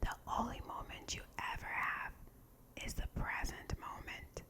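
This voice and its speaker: Slowly whispering woman, ASMR reading. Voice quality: breathy unvoiced whisper. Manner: soft, slow, deliberate phrasing. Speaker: ASMR host reading aloud from a meditation book. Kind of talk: ASMR guided meditation reading.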